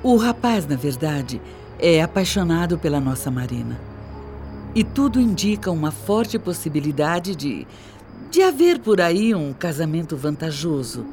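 A man with a Brazilian accent playing a calculating wife and mother in a radio drama, speaking in a measured, persuasive, formal way. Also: on the high side, darkish tone. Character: calculating wife and mother, scheming while sounding civil.

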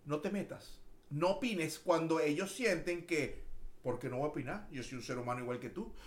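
A man's voice: a little high, moderate pace, reverberant. A man from Caracas with a Venezuelan accent, co-hosting a casual podcast chat and emphatically acting out quoted voices. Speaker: podcast co-host.

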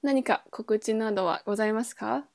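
Female podcast co-host, fairly high register, lilt, informal conversational delivery. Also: fairly quick, creaky, a little mellow, dry recording, clean studio sound. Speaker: podcast co-host, performing musician. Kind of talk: casual chat.

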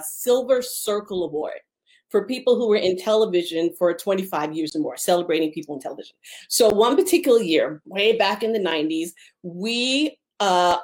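Chatty woman teacher from New York. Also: mid-range voice. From Long Island, New York. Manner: chatty, laughs easily, says um often. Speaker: guest, theater teacher. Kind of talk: casual interview talk.

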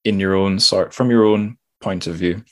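A man's voice: low voice, fairly even intonation, moderate pace. A man hosting a casual interview, speaking tentatively and searching for his words. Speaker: podcast host.

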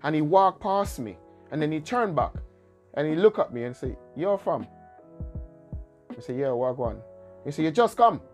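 A man with a Jamaican accent telling stories in a relaxed way during a casual chat, often repeating his phrases. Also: a little high, moderate pace, long pauses, soft background music. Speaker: guest.

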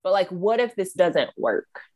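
A woman's voice: fairly low pitch, very animated, unhurried, a little mellow. A woman hosting a podcast interview as a financial coach, chatting in an encouraging way and laughing easily with her guest. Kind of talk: conversational interview.

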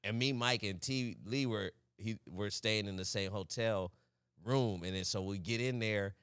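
A young man from Fresno co-hosting a podcast, telling animated stories and breaking into laughs and shouts at the punchlines. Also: fairly low pitch, unhurried, smooth, dry recording, clean studio sound. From Fresno, California. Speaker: podcast co-host. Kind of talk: casual storytelling chat.

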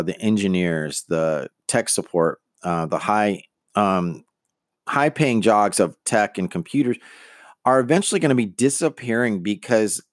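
A man's voice: on the low side, dry recording, clean studio sound. A middle-aged man hosting a podcast, talking casually and earnestly with lots of fillers and restarts. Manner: rambling, many fillers and restarts; earnest, motivational. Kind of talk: casual monologue on personal branding.

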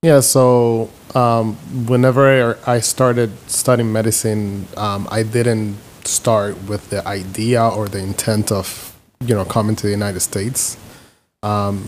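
A middle-aged man from the Dominican Republic, a guest physician answering interview questions in a relaxed, thoughtful way with many pauses. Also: on the low side, a little hiss or hum. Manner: relaxed, thoughtful, with frequent um fillers.

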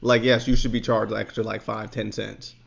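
A man hosting a casual podcast, talking in a relaxed, rambling way with lots of fillers. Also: fairly low pitch, moderate pace, creaky. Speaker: podcast host. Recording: dull lo-fi sound.

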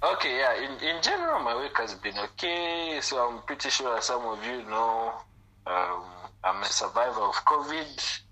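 A young man, a little hoarse, talks in a joking, rambling way as a guest in a relaxed group chat. His voice is bright and sharp, and his pitch swings widely from line to line. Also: moderate pace, poor audio. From Zambia. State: hoarse, coughing. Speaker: podcast guest.

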